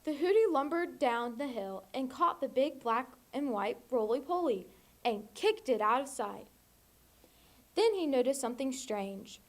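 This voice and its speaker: A woman's voice: high voice, lively intonation, a little slow. A teenage young woman reading a children's storybook aloud. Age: teens. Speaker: volunteer storybook reader.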